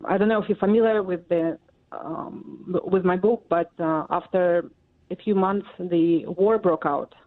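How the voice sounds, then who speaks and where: A woman in her forties from Russia, speaking English with a Russian accent as an interview guest, halting and full of fillers. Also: lowish voice, fairly even intonation. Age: 40s. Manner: halting, many fillers and pauses. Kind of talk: interview answers. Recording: tinny phone line.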